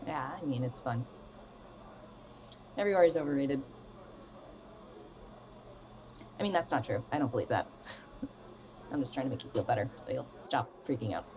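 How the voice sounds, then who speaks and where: A woman's voice: a bass voice, a little fast, long pauses, darkish tone, slight background noise. A young woman playing a blunt, restless, sardonic 27-year-old star in a naturalistic radio drama, probing in a conversational way. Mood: dry, dismissive. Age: young adult.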